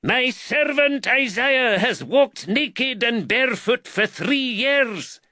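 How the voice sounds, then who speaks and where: A man's voice: very high-pitched, expressive, takes it slowly, pushed and bright, no echo, clean studio sound, pitched above the performer's own voice, exaggerated voice. A man voicing a wild bedlamite with a thick Scottish accent, crying out declamatory words in an audiobook. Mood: wild ranting. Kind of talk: audiobook character dialogue.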